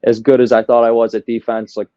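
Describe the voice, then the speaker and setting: A man's voice: mid-range voice, monotone, fast, mellow, no echo, dull audio. A young man from outside Chicago with a Midwestern American accent, talking casually and self-deprecatingly as a podcast guest. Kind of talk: casual interview talk.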